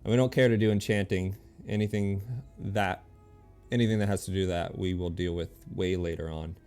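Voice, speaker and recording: A man streaming a video game, thinking aloud in easygoing casual chat and reading game text out loud. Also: low-pitched, steady tone, normal speed, smooth, soft background music.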